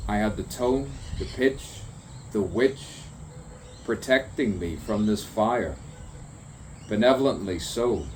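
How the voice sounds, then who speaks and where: A man with a medium-pitched voice delivers improvised spoken-word poetry at an unhurried pace. He breaks it into short, theatrical, musing phrases that repeat and rhyme. Faint background noise of crickets and birds sits behind him. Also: a little mellow. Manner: rhyming, repetitive wordplay in short, deliberate phrases. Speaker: poet.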